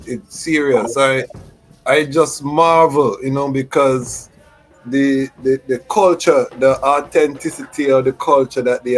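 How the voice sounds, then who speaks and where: Man, interviewer, from Jamaica and Toronto, relaxed, casual chat, middle-pitched. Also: rough recording. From Jamaica, raised in Toronto. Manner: relaxed, repeats "you know what I mean".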